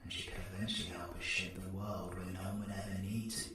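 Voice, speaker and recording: A British man playing a cocky, menacing, flirtatious supervillain in an audio roleplay, husky and sardonic, often dropping to breathy whispers. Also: sits low, level tone, quick pace, heavy background noise, natural voice. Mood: teasing, musing. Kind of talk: scripted audio roleplay, ASMR drama.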